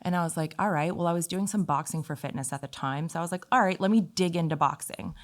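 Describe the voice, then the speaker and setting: A woman with a slightly low voice speaks as a podcast guest. She tells personal stories casually and informally, with an animated way of acting out remembered conversations. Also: fast. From Italy. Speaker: podcast guest, fitness instructor.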